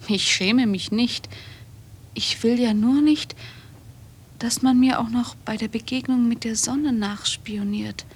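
A woman's voice: a little high, takes it slowly, breathy edge. An actress playing a troubled, defiant woman in a German radio drama, speaking with brooding intensity. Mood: subdued, confessional. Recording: noisy cassette tape of radio drama.

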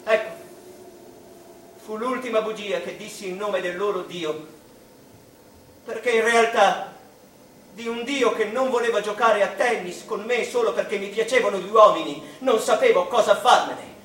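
An actor playing an anguished, reflective English mathematician in a theatrical radio monologue, slow and dramatic, with pauses between short phrases. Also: male voice, sits high, a bit bright, slight background noise. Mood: intense, wounded. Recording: roomy, distant stage-like sound, music behind.

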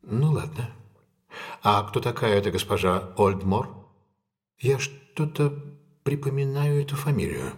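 An actor playing a shrewd, self-possessed gentleman detective in an audio drama, measured and authoritative. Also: mid-range voice, expressive, close to an everyday voice. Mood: inquisitive.

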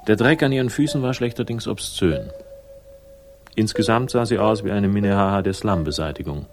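A man's voice: fairly low pitch, fairly quick, close to an everyday voice. An actor playing a wry, world-weary male private investigator, narrating dryly in a 1960s German radio crime drama. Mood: deadpan narrating. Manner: dry, wry, ironic. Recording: music behind the voice. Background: music.

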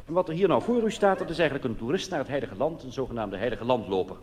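A man's voice: medium pitch, animated, fast talker. A man with a Netherlands Dutch accent, a cabaret comedian telling a comic monologue live on stage in a conversational way, full of colloquial asides. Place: on stage in a theatre. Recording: noisy live recording in reverberant hall.

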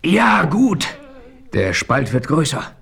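A man playing the calm, authoritative hero who narrates in the first person, in a radio play. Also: fairly high pitch, slow, vocal fry, slightly rough, full character voice.

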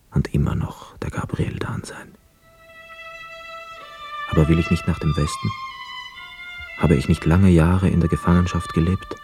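An actor playing a brooding, hesitant ex-prisoner of war, telling his story in a measured, introspective way in a radio drama. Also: very deep voice, vocal fry, exaggerated voice. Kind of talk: literary radio drama narration and dialogue. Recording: close dry studio, music behind. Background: music.

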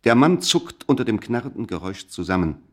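A male narrator of a scripted radio play with a deep voice. He tells the story in a measured, suspenseful way. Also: very animated.

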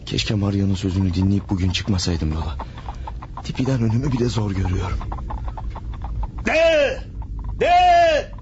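An actor playing Jose, a middle-aged mountain husband, in a classic radio play. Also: male voice, on the low side, big pitch swings, unhurried, long pauses. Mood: worried. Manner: tender, then shouting over a blizzard. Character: Jose, a hardy mountain husband driving a cart. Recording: old radio broadcast with hiss.

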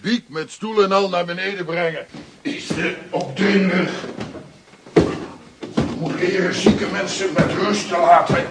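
A man's voice: high-pitched, fairly even intonation, a little slow, pauses often, reverberant, distant mic, heavy background noise. An actor playing a strange old man who speaks in terse, broken commands.

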